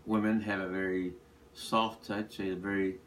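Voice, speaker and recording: A man from Texas, an engineer answering interview questions with many pauses and fillers. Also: deep voice, little pitch movement. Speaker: aerospace engineer. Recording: distant mic, faint noise.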